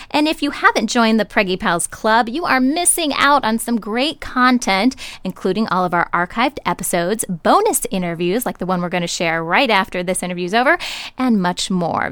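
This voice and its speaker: A woman's voice: on the high side, fairly bright tone, clear, resonant tone, no room sound. A woman hosting a podcast, moving between upbeat scripted announcements and casual chat in a studio. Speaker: podcast host.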